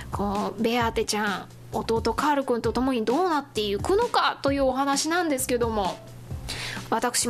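A woman hosting a solo radio show in a casual, chatty style, giving announcements to listeners. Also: a little high. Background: music.

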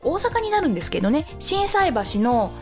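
A woman's voice: sits high, big pitch swings, moderate pace, darkish tone, soft background music. A woman co-hosting a radio show, chatting casually and sharing announcements.

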